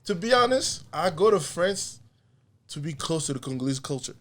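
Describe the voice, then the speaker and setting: A man's voice: on the high side, moderate pace. Laid-back man, easygoing podcast guest. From USA. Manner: relaxed, rambling, laughs often. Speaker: podcast guest, artist and comedian. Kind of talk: casual conversation.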